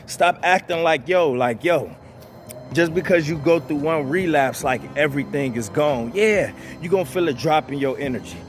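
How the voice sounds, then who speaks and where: A man's voice: a little high, a little hiss or hum. A man giving blunt, profane motivational advice straight to his viewers, repeating himself and calling them "bro". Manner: blunt, profane, repetitive, calls listeners "bro". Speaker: channel host giving advice.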